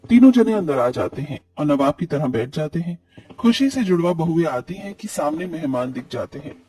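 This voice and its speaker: Husky, higher-pitched male storyteller. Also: animated, normal speed, warm tone, no echo, recorded from a distance, soft background music. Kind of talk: scripted animated-story narration.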